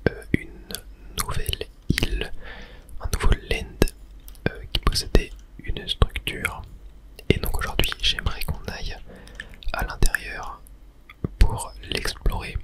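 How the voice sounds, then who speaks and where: Someone softly whispering calm, soothing ASMR commentary while playing a video game, describing each step as it goes. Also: very high-pitched. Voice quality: soft unvoiced whisper. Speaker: video game streamer, ASMR channel host.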